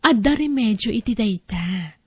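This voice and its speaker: A woman's voice: mid-range voice, expressive, moderate pace, darkish tone, slightly rough, dry recording. An Ilocano-accented woman playing a coaxing, insistent wife in a radio-style drama, speaking emphatically.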